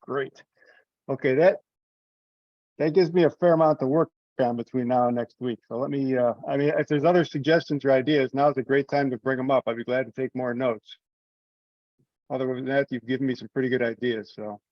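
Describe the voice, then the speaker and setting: A man's voice: mid-range voice, steady tone, fairly quick, no echo. Man, mid-range voice, informal meeting leader, close dry sound, lo-fi call. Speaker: meeting leader taking notes. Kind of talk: casual meeting discussion. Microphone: remote teleconference call. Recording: dull, dry conference call audio.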